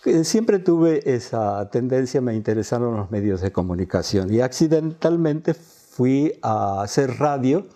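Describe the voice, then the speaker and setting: An Argentine man in his eighties who grew up speaking Quechua tells of his own life as a guest in an interview. His voice is soft-edged and mellow, at a middling pitch, with the phrasing of a former announcer. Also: lively intonation, a little slow. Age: 80s. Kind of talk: autobiographical storytelling in an interview.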